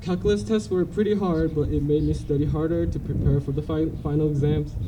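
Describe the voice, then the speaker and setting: A young man from South Korea with a Korean accent, giving a graduation speech as salutatorian in an earnest, halting way with frequent restarts. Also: fairly high pitch, steady tone. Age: young adult. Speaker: salutatorian cadet. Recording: distant mic, light noise.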